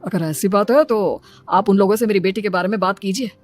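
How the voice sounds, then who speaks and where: Aging, self-sacrificing father for a cartoon tale, woman performer: fatherly, earnest, medium pitch, light music behind. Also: a little fast, close to an everyday voice. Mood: humble, earnest. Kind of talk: dramatized cartoon moral story.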